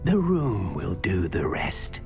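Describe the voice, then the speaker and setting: A woman playing a calm, mysterious mentor at a magic school in a game, guiding in a deliberate way. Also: very deep voice, vocal fry, heavily acted. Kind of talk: scripted game dialogue. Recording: music under the voice.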